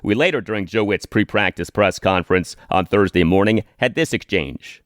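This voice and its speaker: A man hosting a sports podcast, speaking emphatically in commentary and reads, deliberately repeating his phrases. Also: fairly low pitch, no echo, studio quality.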